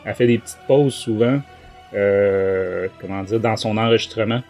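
A man's voice: on the low side, steady tone, moderate pace, darkish tone. Hesitant Quebec man over background music. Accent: Quebec French. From Quebec, Canada. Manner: hesitant, rambling. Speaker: podcast host. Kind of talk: casual review discussion.

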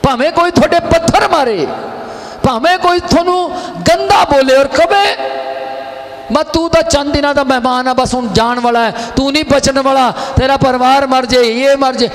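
A man in his thirties preaching a call-and-response sermon, swinging between loud and quiet and acting out the voices he quotes. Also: extremely high pitch, in a large hall. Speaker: pastor. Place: studio stage with curtains, indoors.

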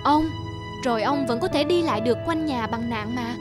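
A woman voicing a devoted young grandson in an animated drama, gentle and solicitous. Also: fairly high pitch, normal speed, loud music behind the voice, close to an everyday voice. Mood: concerned.

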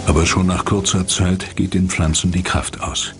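A man's voice: low voice, little pitch movement, moderate pace. A man reading scripted documentary narration as the narrator. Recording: music behind the voice. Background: music.

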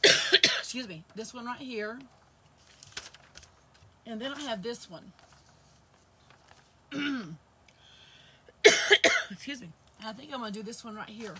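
Hoarse lady in her 50s doing a live craft stream, bright voice, faint hiss behind. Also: on the high side, lively intonation, slow, long pauses. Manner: chatty, greets viewers while working with her hands. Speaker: craft channel host. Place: indoor craft room with shelves. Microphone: camera facing her across the table.

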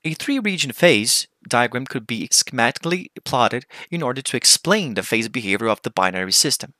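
Bright-voiced man presenting research. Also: mid-range voice, expressive, fairly quick, no room sound. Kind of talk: scripted conference presentation.

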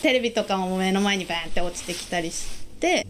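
A woman's voice: medium pitch, quick pace, slight background noise. A woman recollecting casually as an interviewee in conversation.

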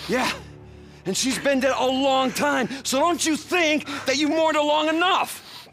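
An actor playing a brash, teasing, loyal biker in a video game cutscene, coarse and familiar. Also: male voice, extremely high pitch, unhurried, a bit bright, faint music behind, heavily acted. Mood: stern, exasperated.

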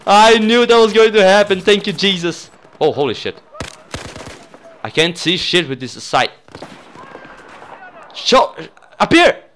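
A young man from Brazil with a Brazilian accent, a native Portuguese speaker, giving excitable, sweary, casual commentary as host of a gaming video. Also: high-pitched, unhurried, long pauses, slight background noise.

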